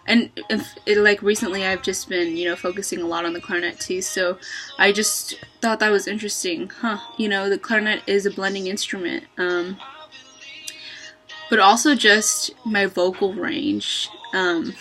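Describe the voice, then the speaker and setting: A young woman talking to her webcam in a small bedroom, thinking aloud with many pauses and ums. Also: a bit bright, soft background music, rough recording. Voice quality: low-voiced for a woman, by her own account. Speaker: vlogger. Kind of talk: reflective casual monologue. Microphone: webcam at close range.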